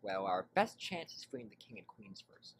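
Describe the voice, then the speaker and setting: A woman voicing an anxious, gentle, devoted young pony squire weighed down by guilt in a fan animation. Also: very deep voice, sing-song, moderate pace, slight background noise. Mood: worried. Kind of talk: animated fan drama dialogue.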